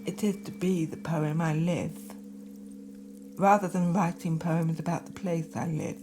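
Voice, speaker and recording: A woman poet speaking reflective lines in short, measured, deliberate fragments for an audio documentary. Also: on the low side, fairly even intonation, background noise. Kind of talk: poetic spoken reflection in a documentary audio piece.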